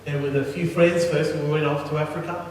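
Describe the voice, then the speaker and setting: Guy interpreting a sermon, pretty high voice, short phrases with restarts, super echoey room. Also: level tone, moderate pace. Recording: echoey hall, distant mic, noisy.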